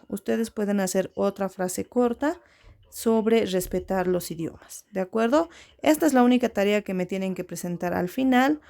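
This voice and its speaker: Schoolteacher, woman, gravelly and mellow, patient classroom explainer who keeps checking in with the kids. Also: mid-range voice. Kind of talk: classroom lesson to children.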